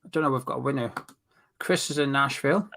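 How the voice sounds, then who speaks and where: A man's voice: medium pitch, quick pace, creaky, a bit bright. A man co-hosting a casual live football chat, reading out viewer comments and teasing his co-host. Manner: reads out comments, teasing banter.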